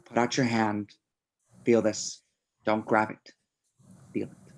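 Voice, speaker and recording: A man playing a tormented, unstable killer, halting and menacing, in an amateur screenplay read over a video call. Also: fairly low pitch, flat delivery. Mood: grim menace. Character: tormented, unstable male killer pleading with captive lover. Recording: home video-call audio.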